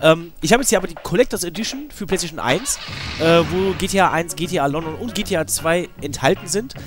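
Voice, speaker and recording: High-pitched male let's player with a cold. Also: animated, fast talker. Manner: rambling, informal, slangy. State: slightly ill with a cold. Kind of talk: casual let's play commentary. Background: video game music.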